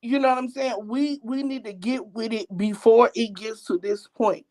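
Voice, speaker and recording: An older woman calling in to a show, talking bluntly and excitedly in casual slang. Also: on the high side, moderate pace. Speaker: caller. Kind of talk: casual phone-in chat.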